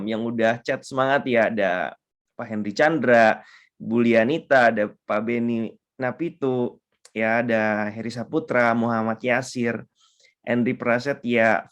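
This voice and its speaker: Male mentor, hoarse and stuffy-nosed, upbeat online lecturer, mid-pitched, video-call mic. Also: muffled recording. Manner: upbeat, mixes English terms, frequent fillers. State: hoarse and congested. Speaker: trading mentor.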